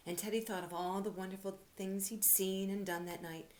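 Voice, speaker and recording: A woman giving an expressive read-aloud for children as a storyteller and teacher. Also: medium pitch, normal speed, slight background noise.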